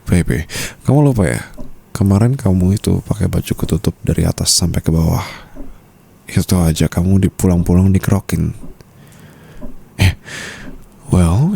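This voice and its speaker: Guy acting as low gravelly mafia husband, teasing asmr murmur, possessive, slips into english. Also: dark tone, a little hiss or hum, full character voice. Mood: playful. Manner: slow, teasing murmur, mixing in English phrases. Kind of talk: intimate ASMR roleplay.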